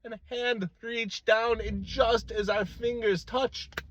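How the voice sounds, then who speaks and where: A man voicing an old priest from a folktale, grand and fervent, in drawn-out declamation. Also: very high-pitched, fairly even intonation, fairly bright tone, lo-fi sound, slight background noise, voice pushed up, heavily acted. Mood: rapturous, building.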